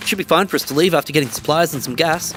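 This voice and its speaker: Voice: mid-range voice, very fast, fairly bright tone, soft background music, natural voice. An actor playing a guarded, sarcastic young survivor in a dystopian America, talking casually in a naturalistic audio drama. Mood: dry, brisk.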